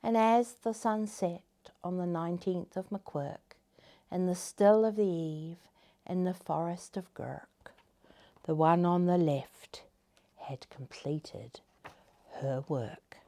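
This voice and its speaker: A woman reading a children's story aloud in an expressive, dramatic manner, dropping into hushed tones for effect. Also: on the low side, slow.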